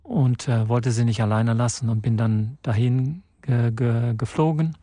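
A man born in Portugal and raised in the Netherlands, guesting in a radio studio and answering haltingly with many restarts and fillers. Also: medium pitch, fairly even intonation, warm tone, dry recording. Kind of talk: radio interview.

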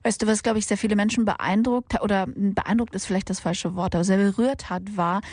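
A woman's voice: mid-range voice, fairly even intonation, fairly quick, dry recording. German radio host woman, dry studio. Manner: warm conversational, many fillers. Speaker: radio talk show host. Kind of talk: radio interview.

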